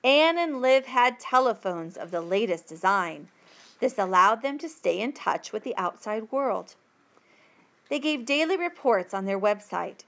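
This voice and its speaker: A woman reading a textbook passage aloud as a teacher would, with careful, deliberate phrasing. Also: fairly high pitch, expressive, vocal fry, fairly bright tone.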